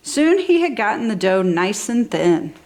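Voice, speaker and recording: Very animated woman reading a children's story. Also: medium pitch, a little slow. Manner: enthusiastic, animated, exaggerated emphasis for young children. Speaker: storybook reader for a toddler channel. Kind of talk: expressive scripted children's story narration.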